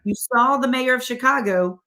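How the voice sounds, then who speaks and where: A woman's voice: on the high side, moderate pace, bright, forceful tone. A middle-aged woman, a guest in an interview, speaking emphatically and with rhetorical questions aimed straight at the listener. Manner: insistent, rhetorical questions, direct address to viewers. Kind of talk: emphatic political interview talk.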